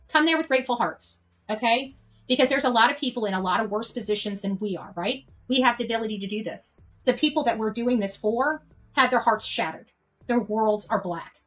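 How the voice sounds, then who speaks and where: A woman's voice: on the high side, fairly bright tone, poor audio. A woman hosting an unscripted livestream, addressing her followers in an earnest, exhortatory way and often checking for agreement. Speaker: livestream channel host and activist organizer.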